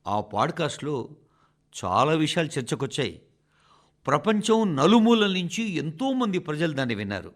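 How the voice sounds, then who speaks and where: Expressive, high-pitched man reading an address. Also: normal speed. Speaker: radio reader of a translated national address. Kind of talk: scripted broadcast narration, oratorical address.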